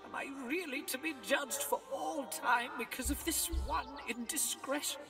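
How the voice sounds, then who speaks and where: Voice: high voice, moderate pace, creaky, full character voice. An actor voicing a defensive, pious spirit of a dead pilgrim in a video game, protesting in a wounded manner. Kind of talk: dramatic video game voice acting. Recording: distant reverberant game audio, loud music.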